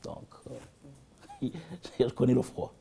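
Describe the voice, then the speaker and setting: A man from the region, answering interview questions thoughtfully, with halting restarts. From African Great Lakes region. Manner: thoughtful, halting, with frequent restarts and warm asides.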